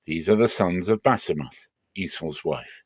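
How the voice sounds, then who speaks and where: A man reading scripture aloud dramatically, in measured and deliberate phrases with clear pauses. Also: sits low, no room sound, clean studio sound. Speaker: Bible reader.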